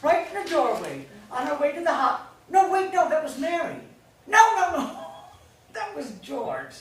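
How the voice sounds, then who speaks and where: A woman playing a brash, stubborn mother in a staged play reading, loud and theatrical. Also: high voice, huge pitch swings, moderate pace. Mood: drunken, gleeful. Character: Maggie, drunk, rambling, brash mother, emotional and stubborn. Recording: echoey room, distant mic, faint noise.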